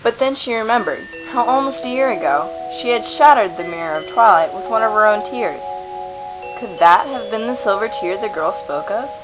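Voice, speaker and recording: A woman's voice: mid-range voice, animated, normal speed, low-quality recording. A woman reading scripted narration for a fantasy radio play. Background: music.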